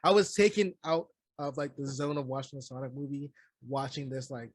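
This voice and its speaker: A man's voice: mid-range voice, steady tone, a little fast, no room sound. A man co-hosting a movie review podcast, chatting casually in slang, cursing freely and repeating phrases to make his point.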